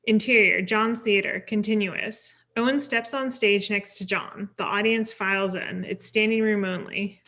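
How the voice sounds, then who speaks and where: Bright-voiced woman narrating a script. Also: mid-range voice, steady tone, normal speed, few pauses. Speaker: stage directions narrator. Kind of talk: scripted narration at a table read.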